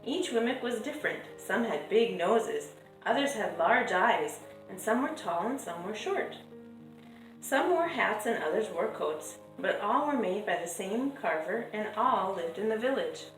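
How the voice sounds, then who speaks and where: A woman reading a children's picture book aloud in a measured, lilting storytelling way, drawing out stressed words. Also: mid-range voice, lively intonation. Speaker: storybook reader. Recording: echoey room with music behind. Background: music.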